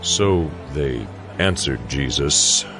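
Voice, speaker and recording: A man narrating dramatized scripture in an expressive storytelling manner. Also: extremely low pitch, very slow, soft background music.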